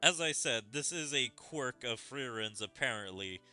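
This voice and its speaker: A man's voice: medium pitch, animated, normal speed, sharp tone. A man streaming a game, reading story dialogue aloud expressively and adding his own commentary over game music. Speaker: gaming streamer.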